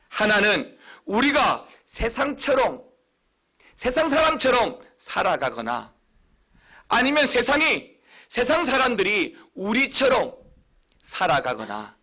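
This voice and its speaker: Busan-accented pastor preaching with high, lively pitch. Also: male voice. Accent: Busan Gyeongsang. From Busan, South Korea. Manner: emphatic preaching with sudden high, loud stresses. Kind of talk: sermon.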